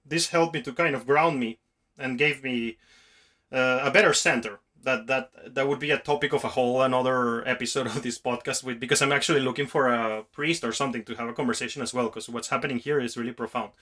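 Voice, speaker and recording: A man's voice: mid-range voice, steady tone, quick pace, sharp tone. A man hosting a podcast, talking in a casual, reflective and earnest way and wandering through his thoughts. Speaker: podcast host.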